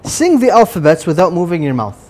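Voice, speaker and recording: A man's voice: sits high, big pitch swings, brisk, a little mellow. A young man co-hosting a studio chat game, teasing his partner and giggling, on a lapel mic. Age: young adult. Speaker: co-host. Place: studio set with lounge chairs.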